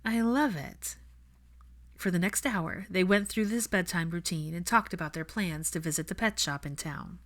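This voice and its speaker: A woman's voice: on the low side, moderate pace, hardly any pauses. A woman reading scripted fiction aloud as an audiobook narrator.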